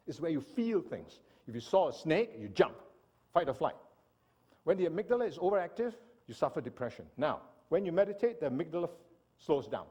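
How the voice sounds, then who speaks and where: A man from Singapore delivers an animated church seminar talk, often turning to his listeners with "right?". His voice sits a little high and is husky, and it swings up and down freely. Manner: animated lecturing, asks audience "right?". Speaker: speaker.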